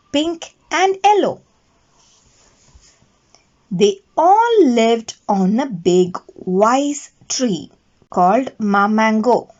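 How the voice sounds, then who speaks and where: A woman reading a children's bedtime story aloud in a deliberate, expressive way. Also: fairly high pitch. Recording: dull, lo-fi recording.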